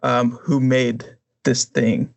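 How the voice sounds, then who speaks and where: A man's voice: mid-range voice, little pitch movement, takes it slowly, creaky. A man co-hosting a podcast, ranting casually to friends in a blunt, emphatic way. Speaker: podcast co-host. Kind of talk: casual opinion rant among friends.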